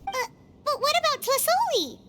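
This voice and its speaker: An actress playing a tiny, chirpy, childlike fairy companion with a squeaky voice in an anime-style video game. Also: female voice, very animated, moderate pace, very noisy. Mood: amazed. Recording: music under the dialogue.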